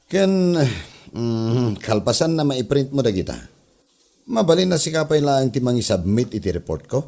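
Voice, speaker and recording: A man's voice: mid-range voice, big pitch swings, normal speed, creaky, dark tone. A man playing a lazy, demanding office boss who grumbles and orders people around in a comedy audio drama. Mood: bossy. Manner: grumbling, bossy.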